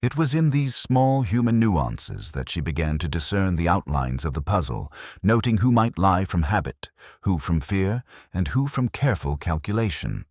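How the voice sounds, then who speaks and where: A man narrating a scripted mystery story as an audiobook, in a measured, formal storytelling manner. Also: deep voice, very animated, a little mellow, no echo, studio quality.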